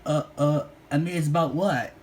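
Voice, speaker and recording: A man's voice: a little high, fairly even intonation, normal speed, voice pushed up, natural voice. A man voicing a timid, earnest, agreeable boy from a cartoon, with a hesitant, mild manner, in a storybook read-along. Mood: unsure.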